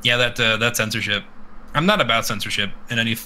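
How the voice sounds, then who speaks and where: A man's voice: medium pitch, fast talker, sharp tone, slight background noise, poor audio. A man chatting casually as a podcast host, blunt and profane, rambling through his opinions. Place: live multistreamed podcast.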